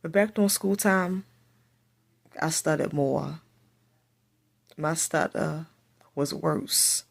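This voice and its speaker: Slow, soft-spoken woman with a stutter. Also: deep voice, creaky. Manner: speaks with a stutter, repeats phrases. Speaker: vlogger. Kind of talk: casual personal storytelling to camera.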